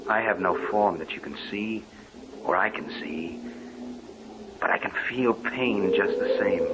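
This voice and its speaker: A man's voice: lowish voice, unhurried, very noisy, lightly acted. An actor playing a tormented, doom-laden male ghost, brooding and melodramatic, in an old radio horror monologue on a muffled recording. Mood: brooding anguish.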